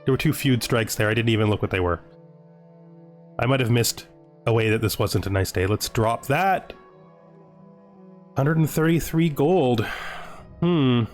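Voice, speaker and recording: A man giving casual video game commentary, thinking aloud as he deliberates his choices. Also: mid-range voice. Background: music.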